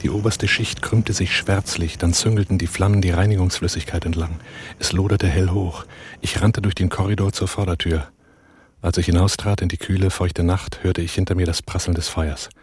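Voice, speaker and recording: An actor playing a sardonic, gruff husband and reluctant leader in a radio drama, speaking and narrating in a dry, deadpan way. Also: male voice, deep voice, normal speed, natural voice. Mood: tense narration. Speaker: actor and first-person narrator. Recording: noisy 1980s radio play production.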